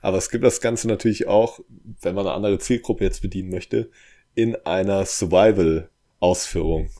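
Low-voiced, playful young man. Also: steady tone, normal speed, mellow. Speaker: podcast co-host. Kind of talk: casual podcast chat.

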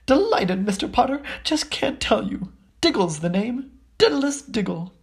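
A storyteller voicing an excitable, delighted gentleman wizard who gushes breathlessly. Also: medium pitch, normal speed, slightly rough, pitched above the performer's own voice. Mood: thrilled.

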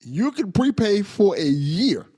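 A man's voice: high voice, sing-song, slow pace, dark tone, slightly rough, no room sound, studio quality. A man hosting a podcast, chatting casually with his guest and hyping her up with slang. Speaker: podcast host. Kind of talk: casual interview chat.

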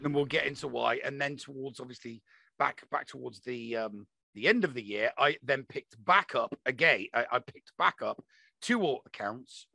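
A man's voice: mid-range voice, normal speed, vocal fry, sharp tone, dry recording, low-quality recording. A man hosting a podcast in a casual, chatty style. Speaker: podcast host.

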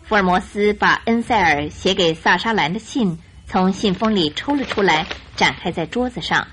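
A woman narrator telling a scripted detective story for a radio drama in an expressive storytelling style. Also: mid-range voice, moderate pace, soft background music.

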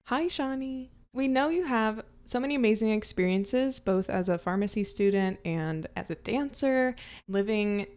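A woman's voice: medium pitch, expressive, moderate pace, a little mellow. Enthusiastic woman podcast host. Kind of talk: podcast intro and interview chat.

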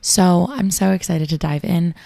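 A young woman with a raspy voice, hosting a show and reading affirmations slowly and soothingly. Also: on the low side, dark tone, dry recording. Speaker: affirmation and meditation host. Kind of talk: guided affirmations. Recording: clear music bed behind voice.

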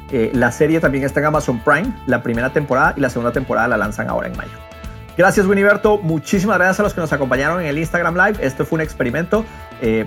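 A man's voice: medium pitch, fairly bright tone. A man hosting a casual podcast interview, explaining points in a structured way. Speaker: podcast host.

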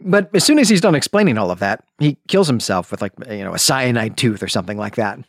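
A man hosting a podcast, telling a story in a conversational, animated way with casual asides. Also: mid-range voice, quick pace, no echo, clean studio sound. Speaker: podcast host. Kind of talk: conversational book summary and commentary.

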